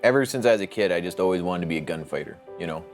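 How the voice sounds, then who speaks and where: A man's voice: deep voice, animated, very fast, over music. A military veteran man speaking plainly and casually in a documentary interview.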